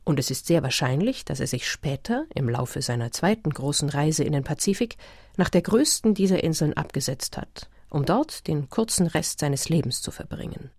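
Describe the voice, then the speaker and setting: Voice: mid-range voice, lively intonation, moderate pace, darkish tone, husky, no room sound. A narrator reading a scripted documentary commentary in a studio. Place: dry studio.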